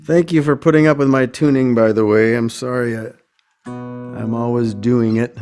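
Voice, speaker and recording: A man, a singer-songwriter, talking casually between songs in a halting, self-effacing, apologetic way. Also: mid-range voice, fairly even intonation, darkish tone, faint music behind. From United States.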